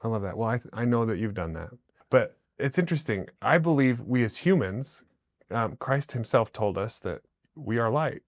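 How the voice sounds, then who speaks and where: A man's voice: medium pitch, lively intonation, normal speed, no room sound. A man hosting a podcast, a native Romanian speaker, talking in a casual interview and affirming his guest with many short agreements. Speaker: podcast host.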